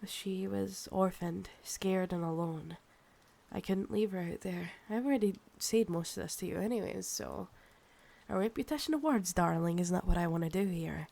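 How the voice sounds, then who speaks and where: A woman's voice: lowish voice, creaky, warm tone. A woman with a Scottish accent playing a possessive, proud, seductive ancient vampire in an intimate ASMR roleplay, teasing and condescending. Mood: calm, firm. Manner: slow, teasing, condescending, calls listener darling.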